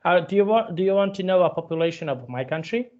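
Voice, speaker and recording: Man, halting teacher on a call. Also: high voice, lively intonation, darkish tone, muffled recording. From Serbia. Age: 30s. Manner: patient, halting, with frequent restarts. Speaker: English teacher. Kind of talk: one-on-one online English lesson.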